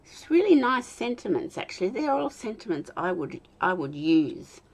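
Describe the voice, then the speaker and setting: An Australian woman in her fifties, chatting and talking to herself while she demonstrates card making, with a slightly croaky voice. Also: mid-range voice, very animated, moderate pace. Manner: rambling, self-talk with soft laughs. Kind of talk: chatty crafting demo.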